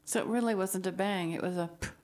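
A woman's voice: mid-range voice, quick pace, darkish tone. A woman co-hosting a casual chat, reacting to her partner's jokes with dry, teasing remarks. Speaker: co-host.

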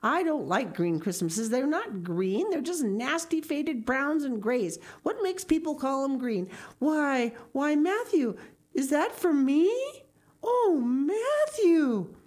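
A woman voicing an excitable, earnest young girl from a storybook, with gushing, dramatic exclamations. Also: high voice, slow pace, pitched above the performer's own voice, full character voice. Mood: chattering, amazed.

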